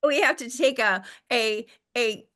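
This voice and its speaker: A woman's voice: a little high, unhurried, fairly bright tone. A woman hosting a podcast interview, speaking in an emphatic, playful, effusive way with storytelling flourishes. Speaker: podcast host.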